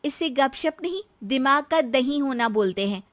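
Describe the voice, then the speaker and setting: Casting: female narrator as young wife, high-pitched, plaintive, exasperated, for an animated moral story. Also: normal speed. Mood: annoyed. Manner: plaintive, emphatic complaining. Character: exasperated young daughter-in-law, fed up, complaining.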